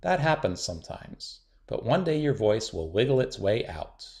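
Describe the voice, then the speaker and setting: A man voicing a gentle, loving father in a children's storybook, speaking low, soft and soothing. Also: big pitch swings, moderate pace, vocal fry. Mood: tender. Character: gentle loving dad at bedtime.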